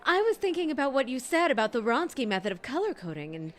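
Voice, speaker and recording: Eager, gushing flirt at a dating event, lively and slightly high, played by a woman. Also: fast. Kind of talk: audio comedy drama.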